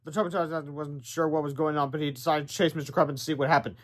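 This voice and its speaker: A man's voice: a little high, fairly even intonation, fast talker. A man reading a children's book aloud dramatically, stumbling over the odd word and adding asides. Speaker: read-aloud narrator.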